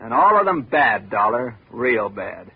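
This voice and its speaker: An actor playing a weary, cynical small-town architect with a sardonic, literate way of talking in a 1950s radio drama. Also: male voice, medium pitch, sing-song, a little slow, slightly rough, full character voice. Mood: bitter, weary. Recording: tinny, hissy 1950s radio recording.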